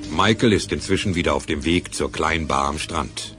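A man's voice: extremely low pitch, moderate pace. A man as the sober narrator of a crime adventure audio drama, reading scripted scene descriptions. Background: music.

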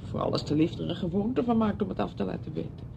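An actress playing a chatty, well-meaning visitor in a radio drama, with a coaxing, fussing manner. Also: female voice, quick pace, vocal fry. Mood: chatty, probing. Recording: noisy old radio play recording, low rumble.

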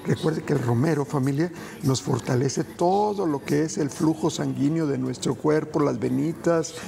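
Gravelly-voiced cooking show host. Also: male voice, a little high, normal speed. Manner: folksy, addresses viewers as family, gentle advice. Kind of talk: cooking demonstration. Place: TV studio kitchen set.

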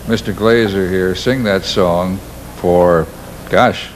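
A man's voice: deep voice, fairly even intonation, takes it slowly, slight background noise. An older man hosting a TV talk show from a desk mic, folksy and teasing. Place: TV studio desk. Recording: live TV studio with audience.

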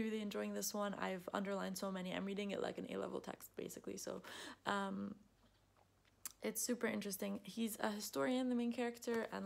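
A woman's voice: medium pitch, creaky. A woman in her twenties whose first language is Arabic, talking through her recent reads in a thoughtful, chatty way with many fillers, recorded on a close webcam at home. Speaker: book vlogger. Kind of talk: casual book review. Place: home room with bookshelves. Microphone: webcam at close range.

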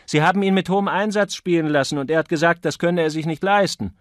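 A man's voice: a little high, quick pace, no room sound, close to an everyday voice. A man voicing a cool, enigmatic man who teases in a dry, condescending way, as an audiobook character. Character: cool, mocking, enigmatic man toying with his listener.

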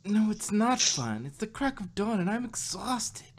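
A woman's voice: medium pitch, big pitch swings, a little slow. A woman voicing an exhausted, short-tempered, studious young female pony in a fan audio drama, weary and curt. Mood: tired, annoyed.

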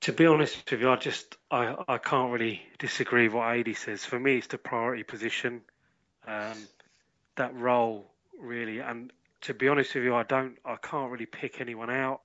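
Measured man on lo-fi audio. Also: medium pitch, fairly even intonation, a bit bright. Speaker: podcast panellist. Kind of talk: casual sports discussion.

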